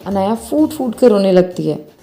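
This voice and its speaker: Mellow woman storyteller. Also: mid-range voice, sing-song, normal speed, soft background music. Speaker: narrator. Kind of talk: scripted bedtime story narration.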